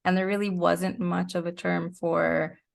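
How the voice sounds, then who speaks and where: A woman's voice: lowish voice, level tone, unhurried, vocal fry. A middle-aged woman in a casual interview conversation, explaining her ideas reflectively with a light, amused touch. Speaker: podcast guest, creator.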